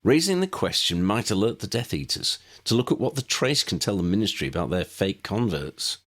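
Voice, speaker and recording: Guy reading as cautious young wizard leader, firm, decisive, bit raised pitch, storybook. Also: very animated, a little fast, a bit bright, full character voice.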